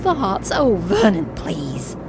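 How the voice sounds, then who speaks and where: An actress playing a sceptical, sharp-tongued woman in an audio drama, speaking with deliberate sarcasm. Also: mid-range voice, huge pitch swings, very noisy. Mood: scornful. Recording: music behind the voice.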